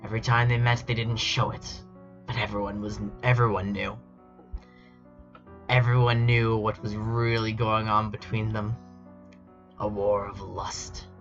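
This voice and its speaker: A man's voice: on the low side, flat delivery, unhurried, long pauses, fairly bright tone. A man streaming casually and reading fan fiction aloud in a mock-dramatic, amused and incredulous way. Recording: dull lo-fi mic with music behind. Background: background music.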